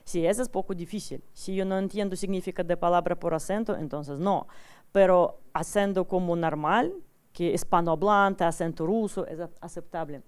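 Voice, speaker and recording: Non-native woman teacher with a strong accent, clear smooth voice, plain halting lecture delivery. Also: fairly low pitch. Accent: strong foreign accent in Spanish. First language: not Spanish. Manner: simple, broken Spanish with frequent "¿sí?" checks and restarts. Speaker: English teacher giving a talk.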